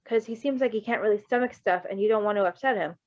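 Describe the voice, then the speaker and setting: A woman's voice: medium pitch, quick pace, muffled recording, lightly acted. A woman voicing a wary, sardonic teenage boy thief with a hesitant, slangy, offhand way of talking in a story reading.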